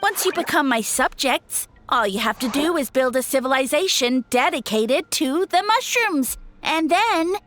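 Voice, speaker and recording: A woman's voice: high voice, lively intonation, measured pace, few pauses, fairly bright tone. A woman voicing a smug, boastful little male mushroom creature in a video game, with a pompous, theatrical, taunting delivery. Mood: pompous, persuasive.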